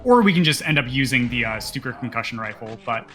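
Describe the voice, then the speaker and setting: A man's voice: mid-range voice, lively intonation, fast, a bit bright, faint music behind. A man co-commentating a live speedrun, explaining the game in a casual way.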